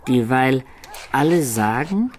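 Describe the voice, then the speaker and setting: A man's voice: medium pitch, lively intonation, slow, a little hiss or hum. A man playing a naive, earthy young peasant telling his story in mock-archaic dialect for a radio drama. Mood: boastful, sly.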